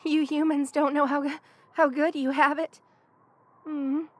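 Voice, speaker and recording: Woman acting as young female lamia, ASMR roleplay, high pitch, unhurried pace, shy, affectionate, hesitant. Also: level tone. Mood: cosy, grateful. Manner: hesitant, soft-hearted, stammering as if shivering.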